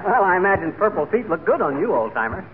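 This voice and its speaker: Folksy American schemer husband, high, wildly swooping voice, tinny radio comedy. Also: male voice, big pitch swings, fast talker. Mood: wry. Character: bumbling, eager middle-aged husband and schemer. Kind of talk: 1940s radio comedy acting. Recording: tinny, very noisy 1940s radio recording.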